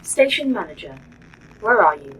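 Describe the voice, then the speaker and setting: A woman's voice: mid-range voice, sing-song, moderate pace, fairly bright tone, a little hiss or hum, rough recording. A woman voicing a proud, dry-witted, condescending artificial intelligence in a sci-fi audio drama. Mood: coolly defensive. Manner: precise, sardonic, condescending. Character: proud, dry-witted A.I. running a secret facility.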